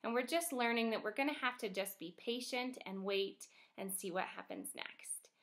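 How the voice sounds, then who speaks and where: A young adult woman, a first grade teacher, speaking expressively and clearly to young children as in a picture book read-aloud. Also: on the high side, normal speed, fairly bright tone.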